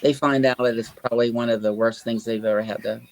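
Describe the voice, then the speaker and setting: A man's voice: medium pitch, steady tone, quick pace, low-quality recording. An older man, an author in a casual interview, talking in a rambling, hesitant way with lots of fillers and restarts.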